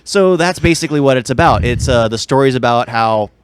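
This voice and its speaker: Male podcast co-host, smooth clear voice, dry close sound, enthusiastic fan explaining things casually. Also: medium pitch, lively intonation, brisk, dry recording. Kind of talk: casual movie chat.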